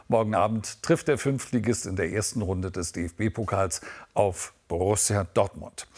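A man presenting regional broadcast news in a scripted style. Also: on the low side.

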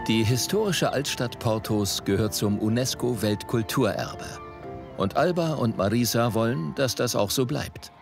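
A man's voice: mid-range voice, measured pace, creaky. A man reading scripted narration for a documentary report. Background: faint background music.

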